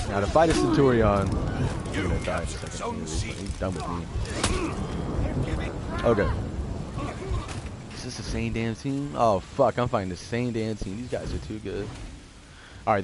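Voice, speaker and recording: A man live-streaming a game with casual, profane commentary, his voice raspy and hoarse from a sore throat. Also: on the low side, very noisy. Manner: loose, profane, rambling. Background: game music.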